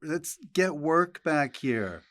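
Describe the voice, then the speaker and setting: A man's voice: fairly high pitch, very animated, takes it slowly, a bit bright. A man hosting a podcast in casual chat, wry and joking, often jumping in. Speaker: podcast host.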